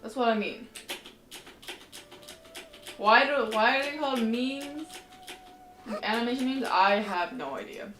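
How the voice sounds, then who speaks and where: A woman's voice: fairly high pitch, measured pace, long pauses, fairly bright tone, roomy sound, slight background noise. A woman livestreamer chatting casually while playing a game, rambling in a slangy, informal way. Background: faint game music.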